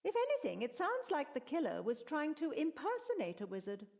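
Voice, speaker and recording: A woman's voice: high-pitched, wildly swooping pitch, brisk, vocal fry, darkish tone, heavily acted. A woman playing a proper, easily affronted wizard scholar in a fantasy video game, speaking formally and precisely. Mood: indignant, lecturing. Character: esteemed female wizard of Saradomin, proper and indignant scholar.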